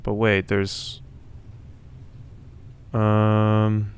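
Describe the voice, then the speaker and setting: A man's voice: fairly low pitch, completely flat delivery, extremely slow, clear, resonant tone. A man giving casual gameplay commentary, thinking aloud and questioning himself as he goes. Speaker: let's play host.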